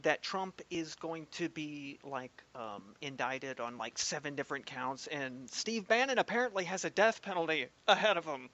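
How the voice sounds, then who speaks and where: A man co-hosting a podcast, chatting about politics in an animated, sarcastic, mocking way. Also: on the high side, normal speed, hardly any pauses, highs cut off. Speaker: podcast co-host. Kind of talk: casual political chat.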